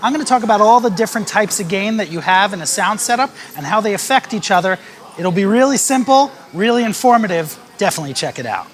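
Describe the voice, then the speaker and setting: A man teaching live sound setup in a music venue, explaining each gain stage calmly and speaking into a handheld vocal mic. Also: high voice, fast talker. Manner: calm, explanatory, stepping through each setting. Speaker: sound engineer and band leader teaching live sound. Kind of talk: hands-on tutorial with sung demonstrations. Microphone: handheld vocal microphone.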